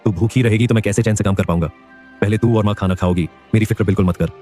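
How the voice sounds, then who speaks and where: An actor voicing a poor young laborer in an animated story, earnest and pleading. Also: male voice, lowish voice, rapid-fire, faint music behind, lightly acted. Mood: reassuring.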